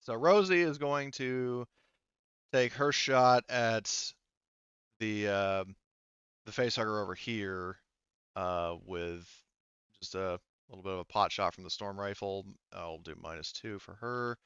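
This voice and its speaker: A man running an online tabletop roleplaying game as game master, talking haltingly as he thinks aloud through the rules. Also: on the low side, fairly bright tone, smooth, no room sound, muffled recording.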